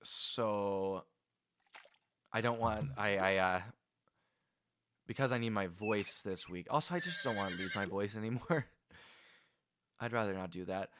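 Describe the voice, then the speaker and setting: A man's voice: on the low side, measured pace, pauses often, fairly bright tone, a little hiss or hum. A man casually chatting with his viewers while playing a game on a livestream, rambling and laughing as he goes. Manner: chatty, rambling, frequent restarts and laughs, talks to viewers by name. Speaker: game streamer.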